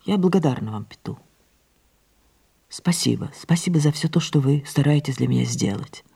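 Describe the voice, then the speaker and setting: An actress playing an aging, imperious, melancholy French stage star in an audio drama, declaiming theatrically with drawn-out words that drop into breathy murmurs. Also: female voice, low-pitched, animated, dark tone, full character voice. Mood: weary, resolute. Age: older. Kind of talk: audio drama monologue and dialogue.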